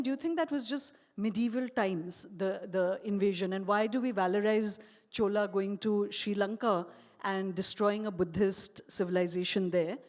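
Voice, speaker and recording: Woman, Indian English accent, moderator, mid pitch, thoughtful winding questions, long hall reverb. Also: darkish tone. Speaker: interviewer, moderator. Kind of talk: stage conversation. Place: stage at a live event, large hall.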